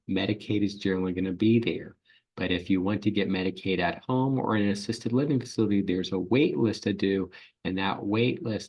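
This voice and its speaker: A man, an elder law attorney, giving a webinar presentation in an explanatory way, clearing his throat often and using filler ums. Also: sits low.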